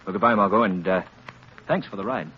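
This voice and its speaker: A man as a suave low-voiced gentleman sleuth. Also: natural voice. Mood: brisk, teasing. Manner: measured, deliberate, dryly teasing. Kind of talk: 1930s radio acting. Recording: noisy, muffled 1930s radio recording.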